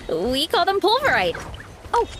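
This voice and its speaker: Sporty young female guide for a video game: high, lively, breezy, encouraging, music behind. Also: slow, a little hiss or hum, full character voice.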